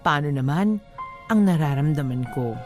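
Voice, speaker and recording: A lovelorn young woman confides in a scripted drama narration. Her voice is low and plaintive, set over clearly audible music. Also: animated, moderate pace. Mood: resigned, plaintive.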